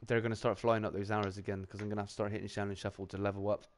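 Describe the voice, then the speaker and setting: A man's voice: lowish voice, level tone, very fast. A man hosting a casual chat, talking in a laid-back, rambling way full of fillers. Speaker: channel host on a live round-table stream.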